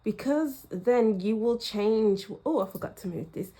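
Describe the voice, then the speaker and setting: A woman giving a pick-a-card tarot reading, speaking in a reflective way and repeating phrases for emphasis, with a slightly croaky throat. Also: fairly high pitch, measured pace, warm tone. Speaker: tarot reader.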